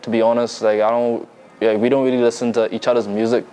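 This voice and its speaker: A man's voice: medium pitch, flat delivery, fast talker, warm tone, clean tone. Laid-back young Bahamian man. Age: young adult. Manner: laid-back, loose, lots of fillers and restarts. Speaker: musician guest. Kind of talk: casual interview chat.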